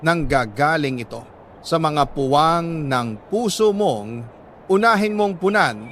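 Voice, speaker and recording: A man with a Filipino accent reads a letter as a storytelling host, earnest and sentimental, pausing deliberately between phrases. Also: fairly high pitch, animated, a bit bright, a little hiss or hum. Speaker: radio storytelling host reading a listener's letter. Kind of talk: dramatic first-person letter narration.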